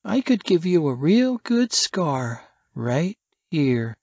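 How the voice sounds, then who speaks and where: Man as young woman, coquettish, menacing, raised pitch, animated intonation, audiobook thriller character. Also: takes it slowly, dry recording, dull audio, exaggerated voice. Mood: teasing.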